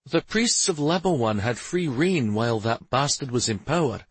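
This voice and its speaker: A man voicing an angry, commanding prince bent on justice in a fantasy audiobook, speaking forcefully. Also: expressive, moderate pace, slightly rough, dry recording. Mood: brooding, intense. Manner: forceful, commanding, low intense speech. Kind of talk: fantasy audiobook character dialogue.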